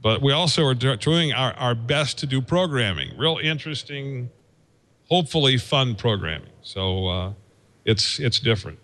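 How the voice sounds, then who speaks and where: A man giving an informal technical explanation as a guest engineer, in a halting, folksy way, thinking aloud as he goes. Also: medium pitch, expressive, a bit bright. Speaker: guest, radio station engineer.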